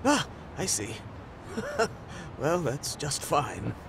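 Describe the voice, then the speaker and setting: An actor playing a friendly, easygoing neighborhood eatery worker in a video game dub, chatting freely. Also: male voice, on the high side, big pitch swings, drawn-out words, creaky, background noise, full character voice. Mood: curious, affable.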